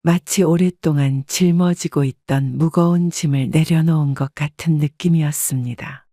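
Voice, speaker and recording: Female audiobook narrator, even and measured, dry studio read. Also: deep voice, dry recording. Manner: steady, even-paced storytelling. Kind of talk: scripted first-person story narration.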